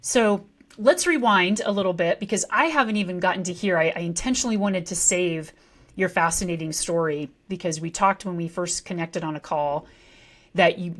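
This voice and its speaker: A woman from rural Nebraska hosting a podcast, asking friendly questions with enthusiasm. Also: on the low side. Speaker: podcast host. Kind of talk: casual interview talk.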